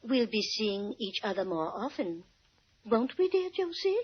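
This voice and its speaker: An actress playing a sly, gossiping woman who coos with sweet menace in a husky voice, in an old-time radio drama. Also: on the high side, big pitch swings, a little slow, loud music behind the voice. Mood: gloating, sweet. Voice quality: husky, breathy. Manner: cooing, insinuating. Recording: dull vintage radio recording.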